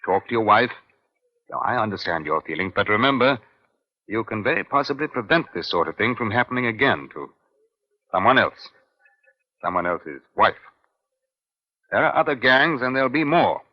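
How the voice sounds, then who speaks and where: An actor playing a calm middle-aged police inspector in a 1950s radio crime drama, measured, formal and dryly probing. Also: male voice, fairly low pitch. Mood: gently persuasive. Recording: dull, band-limited old radio recording.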